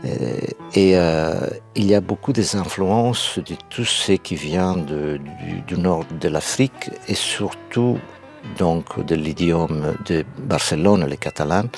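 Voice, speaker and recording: A Sardinian man speaking French, answering interview questions haltingly and searching for words. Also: deep voice, gravelly, darkish tone. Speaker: guest singer.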